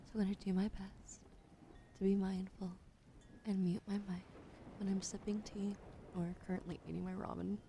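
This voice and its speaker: A woman hosting an ASMR livestream, chatting casually to viewers in a gentle, soft-spoken way that often drops into breathy whispers, close on a sensitive microphone. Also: medium pitch, little pitch movement, normal speed, long pauses, slight background noise.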